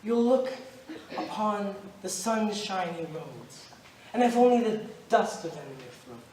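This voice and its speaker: A man's voice: sits high, expressive, darkish tone. A young man playing a grave, relentless, centuries-old stranger in an amateur stage play, speaking in a solemn, declamatory way. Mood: ominous, mournful. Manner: solemn, deliberate, declamatory storytelling. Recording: roomy, noisy stage recording from far off.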